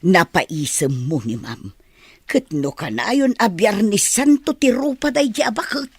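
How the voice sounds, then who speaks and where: A woman's voice: mid-range voice, animated, unhurried, husky, dry recording. A woman playing an older, plain-spoken housekeeper in a radio drama, blunt and motherly. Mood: blunt, teasing. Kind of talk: melodramatic radio drama acting.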